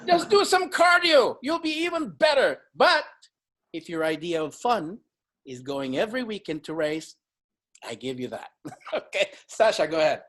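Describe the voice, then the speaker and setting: A man in his fifties hosting a casual fitness panel, emphatic and quick to laugh. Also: sits high. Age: 50s. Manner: animated, emphatic, laughs often. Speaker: host.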